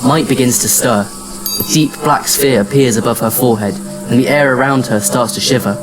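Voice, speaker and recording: A man's voice: mid-range voice, a little fast, lightly acted, poor audio. Man as wry narrator with music behind. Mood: hushed wonder. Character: ancient yet youthful, patient, wry male adventurer narrating his story. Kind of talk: scripted first-person audio drama narration and dialogue. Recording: music under the voice.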